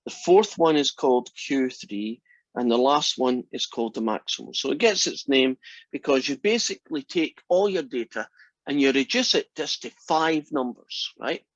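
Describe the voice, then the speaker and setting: A man's voice: mid-range voice, animated, moderate pace, dry recording. A man in his sixties teaching a math class online, chatty and friendly, often asking "right?" to check in. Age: 60s. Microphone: computer mic on a video call. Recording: dry, dull lo-fi call audio.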